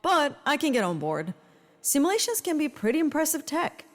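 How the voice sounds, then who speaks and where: Snarky lady spy, blunt and dry, highish, ringing with long reverb, comedy audio drama. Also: sing-song, moderate pace. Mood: skeptical. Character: sarcastic, blunt female spy teammate with tech know-how.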